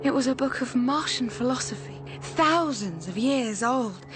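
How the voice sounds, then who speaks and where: An actress playing a hardened renegade space explorer in a radio drama, idealistic yet ruthless, speaking gravely and deliberately. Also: female voice, on the high side, expressive. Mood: earnest, reverent. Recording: music behind the voice.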